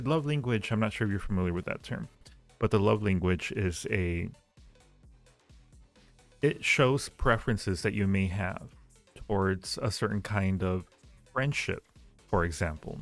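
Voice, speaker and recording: Low, creaky-voiced man chatting on a livestream. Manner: halting, rambling, reassuring. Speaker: livestream host giving advice. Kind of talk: casual chat, answering listener questions.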